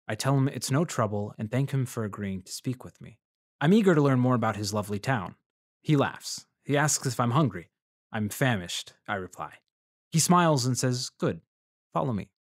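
A man playing a polite, curious freelance journalist in a scripted audio drama, telling his story in a measured way. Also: medium pitch, expressive, no echo, clean studio sound. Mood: measured narration. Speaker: narrator and interviewer. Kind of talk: scripted first-person audio drama narration.

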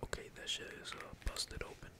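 A gamer speaks entirely in a breathy whisper, giving casual ASMR commentary on their play. The talk is slangy and peppered with swearing, while computer keys clack behind it. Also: a little fast. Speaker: gaming video creator. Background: computer keyboard typing.

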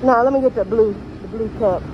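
High-voiced young American woman vlogging outdoors. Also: brisk. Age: young adult. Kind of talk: casual vlog chat to viewers. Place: outdoors, walking on city streets and waterfront. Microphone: phone camera held at arm's length. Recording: dull phone audio, outdoor street noise.

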